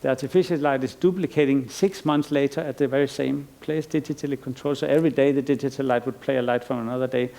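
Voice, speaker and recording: Gravelly-voiced man giving a rambling lecture. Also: mid-range voice, steady tone, fast talker, hardly any pauses, darkish tone. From Iceland. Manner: thoughtful, rambling with frequent restarts and fillers. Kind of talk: conference lecture. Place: lecture stage.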